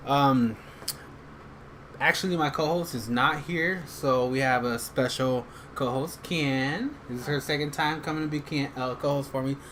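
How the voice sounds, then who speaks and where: A man's voice: mid-range voice, unhurried, a bit bright, slight background noise. A man from Texas hosting a podcast in a loose, joking chat with many fillers. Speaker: podcast host. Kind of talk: casual chat.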